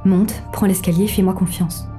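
An actress playing a mysterious, seductive virtual woman, a poised and self-assured guide with measured delivery in an audio drama. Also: mid-range voice, steady tone, mellow, faint music behind, lightly acted. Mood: urgent. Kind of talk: scripted audio drama, literary narration and dialogue.

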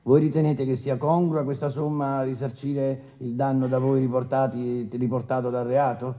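An actor playing a formal, testy, officious presiding judge in a courtroom radio drama. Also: male voice, medium pitch, flat delivery, mellow, close to an everyday voice. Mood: hesitant, official. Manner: formal and officious, with halting hesitations.

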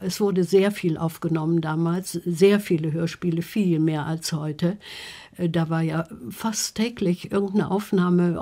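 A woman's voice: on the low side, mellow. An older woman reminiscing conversationally in an interview, with emphatic turns of phrase. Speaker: interview guest, actress.

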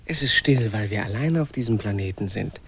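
An actor playing a dreamy, grumbling leader of planet settlers in a German radio play, theatrical and exclamatory. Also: male voice, fairly low pitch, animated, fairly quick, fairly bright tone, breathy edge, heavily acted. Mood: calm, reassuring.

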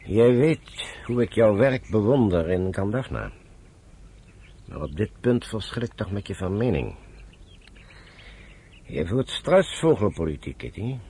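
An actor playing a frank, fatherly military adviser in a Dutch radio play, with a measured way of advising. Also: lowish voice, sing-song, mellow, slightly rough, full character voice. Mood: frank, grave.

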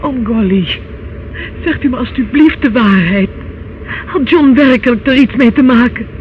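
A woman's voice: a little high, normal speed, close to an everyday voice. An actress in a Dutch radio play, playing a distressed, doubting young niece, pleading and faltering as she speaks. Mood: shocked, pleading. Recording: thin phone-like old radio recording, faint noise.

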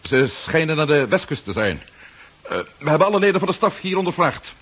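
A man's voice: a little high, very animated, a little fast, a bit bright, slight background noise. An actor playing a shrewd, wry policeman hero in a 1970s radio drama, with a dry manner. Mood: brisk, deceptive. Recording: dull, muffled 1970s radio recording.

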